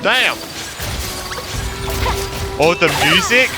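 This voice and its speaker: A young man doing casual gameplay commentary, excitable and slangy as he reacts aloud. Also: sits high, very slow, over loud music. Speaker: gaming streamer. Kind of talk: casual let's play commentary.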